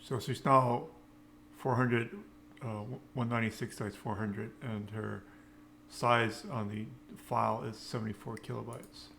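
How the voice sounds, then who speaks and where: Guy doing a casual coding walkthrough, kinda halting, lots of vocal fry, bit of noise behind him. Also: on the low side, little pitch movement, fairly bright tone. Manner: hesitant, halting, unscripted phrasing. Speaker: programming tutorial presenter. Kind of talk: casual screencast tutorial, thinking aloud while coding.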